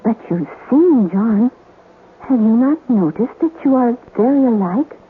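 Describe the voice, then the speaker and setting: An actress playing the ghost of a sea captain's wife in a radio drama, speaking formally and gravely with ominous warnings. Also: female voice, on the high side, animated, measured pace, heavily acted. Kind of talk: 1970s radio drama acting. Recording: thin phone-like old radio recording, hiss.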